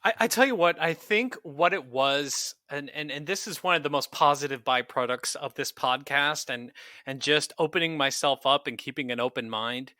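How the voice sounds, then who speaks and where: A man's voice: a little high, normal speed, sharp tone, dry recording, clean studio sound. A man co-hosting a podcast in casual, enthusiastic review chat, speaking in an earnest, effusive way. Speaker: podcast co-host.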